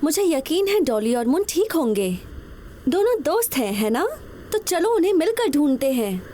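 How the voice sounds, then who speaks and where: A woman's voice: high-pitched, very animated, normal speed, dark tone, slight background noise. A woman voicing a spirited teenage girl, a firm but kindly peacemaker, in a Hindi cartoon dub. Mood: reassuring. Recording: music behind the voice.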